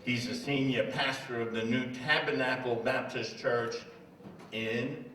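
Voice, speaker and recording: A man's voice: medium pitch, steady tone, measured pace, bright, forceful tone. A man acting as emcee who introduces speakers at an event with formal, welcoming announcements. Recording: roomy, distant mic, background noise.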